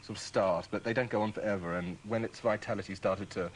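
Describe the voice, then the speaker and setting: A man's voice: lowish voice, fairly even intonation, fast talker, a little hiss or hum, poor audio. A man in his twenties from Australia, answering a host's questions as a guest in a dry, wry, understated way. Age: 20s. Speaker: studio guest. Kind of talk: interview answers.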